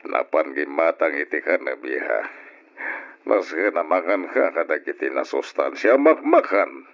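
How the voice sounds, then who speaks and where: A man's voice: a bass voice, lively intonation, measured pace, exaggerated voice. An actor with an Ilocano accent playing a fond, concerned older father in an old-style radio drama, on a muffled, noisy recording.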